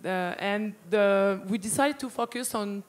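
A woman in her thirties presents a project on a panel. Her pitch is average for a woman. She holds a microphone on an indoor festival stage. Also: a little slow. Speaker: panelist, startup cofounder. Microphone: handheld microphone.

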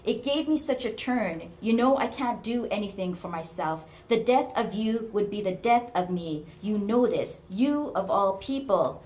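A woman voicing an elderly, self-pitying mother who scolds and guilt-trips in a sharp, loud voice, as part of a story reading. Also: medium pitch, expressive, a little slow, few pauses, slight background noise, pitched above the performer's own voice, close to an everyday voice. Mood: aggrieved self-pity. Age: older. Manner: scolding, guilt-tripping, practically shouting. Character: domineering, self-pitying, shrill elderly mother berating her daughter.

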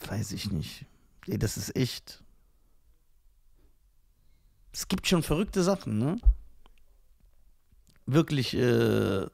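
A man's voice: medium pitch, big pitch swings, slow pace, creaky, darkish tone. A man co-hosting a podcast in casual comedic banter, with an emphatic, teasing manner. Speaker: podcast co-host.

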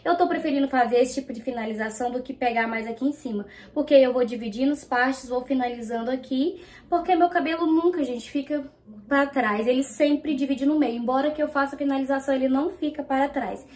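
A woman's voice: high-pitched, a little fast, darkish tone. A woman in her thirties from Brazil, chatting casually to viewers while she styles her hair and reviews a product in a small bedroom. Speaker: beauty vlogger.

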